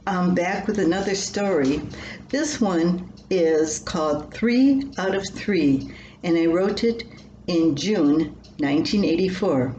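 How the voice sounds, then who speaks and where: A woman's voice: on the low side, darkish tone, lo-fi sound. An older woman reading her own scripted personal story aloud. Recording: roomy echo, faint background noise.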